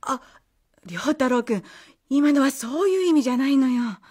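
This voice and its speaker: A woman playing a brisk, blunt schoolteacher in a radio drama. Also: high voice, lively intonation, darkish tone, breathy edge, dry recording, studio quality. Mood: backtracking. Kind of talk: Japanese radio drama acting.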